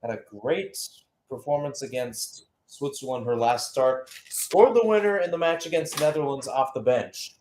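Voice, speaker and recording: A man's voice: medium pitch, expressive, moderate pace, a little mellow, a little hiss or hum. Man calling live football play-by-play. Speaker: play-by-play commentator. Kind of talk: live radio-style football commentary.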